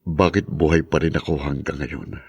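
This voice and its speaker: Bitter, despairing prisoner, low voice. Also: male voice, fairly even intonation, normal speed, darkish tone, breathy edge, dull audio, full character voice. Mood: bitter, resigned. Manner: brooding, anguished. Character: embittered, despairing man long imprisoned for a crime. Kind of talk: melodramatic radio drama acting.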